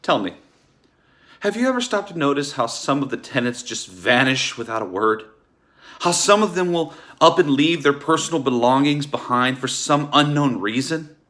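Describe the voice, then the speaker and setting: An actor playing a bitter, wronged husband in a theatrical table read, speaking in an accusatory, ominous and emphatic way. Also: male voice, mid-range voice, a bit bright. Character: bitter, wronged husband warning about a sinister doctor.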